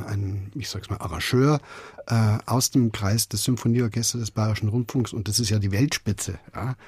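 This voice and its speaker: A man's voice: medium pitch, a little mellow, breathy edge, no echo. A man being interviewed as a radio drama director, speaking spontaneously and searching for words with frequent repetitions.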